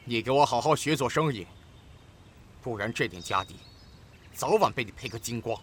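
Stern, forceful scolding father played by a man. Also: mid-range voice, animated, normal speed, a little hiss or hum, lightly acted. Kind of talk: Chinese period audio drama acting.

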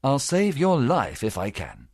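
Man as stern, husky gentleman doctor. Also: mid-range voice, wildly swooping pitch, unhurried, no echo, studio quality, voice pushed up, full character voice. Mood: grave, careful. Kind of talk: audiobook character acting.

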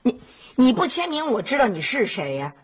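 A middle-aged mother in a family sitcom, nostalgic and quick to get exasperated. Her Beijing-accented delivery swings up and down in pitch, over faint background noise. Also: sing-song.

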